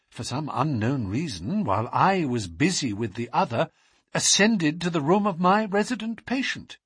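An earnest, indignant doctor voiced by a male reader in an audiobook. He speaks formally and precisely, with some agitation. His delivery is very animated, husky and closely dry. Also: fairly high pitch, dry recording, full character voice. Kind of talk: audiobook character voice.

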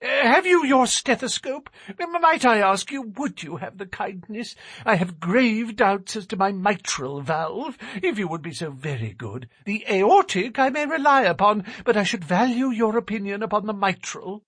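A man voicing a twitchy, fussy young man with a thin, high voice and an anxious manner. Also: sing-song, normal speed, hardly any pauses, fairly bright tone, slightly rough, no echo, exaggerated voice. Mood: fussy, nervous. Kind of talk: audiobook character reading.

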